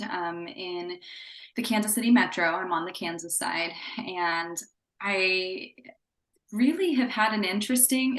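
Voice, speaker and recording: A woman's voice: mid-range voice, bright, forceful tone, echoey room. A woman in her thirties, a psychotherapist who grew up in Indonesia, talking in a chatty, upbeat way with fillers in a casual interview. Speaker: psychotherapist guest.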